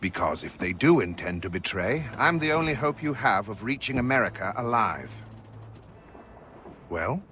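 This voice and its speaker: An actor playing an ill-tempered, stern sailing ship captain in a video game, gruff, blunt and commanding. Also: male voice, fairly low pitch, animated, moderate pace, bright, forceful tone, soft background music. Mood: stern, grudging. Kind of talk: video game cutscene acting.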